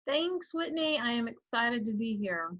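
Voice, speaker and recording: A middle-aged woman from Georgia speaks with a clear Georgia Southern accent as a guest on a video call. Her voice sits a little high and sounds bright and forward. The sound is dry and close, and she talks in a chatty way with quick asides. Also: animated, dry recording, highs cut off. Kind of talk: casual interview over video call.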